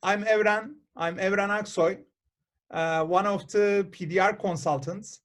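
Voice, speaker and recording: A middle-aged man with a Turkish accent hosting a video call interview, halting with many fillers and restarts. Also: high-pitched, steady tone, fairly bright tone. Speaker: host and consultant.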